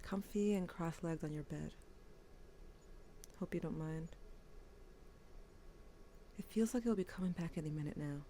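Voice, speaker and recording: A woman's voice: low voice, measured pace, pauses often, warm tone, slight background noise. A woman voicing a grieving teenage boy who writes to his dead brother, wry and bitter, with a subdued tone. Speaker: narrator. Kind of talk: audio drama monologue.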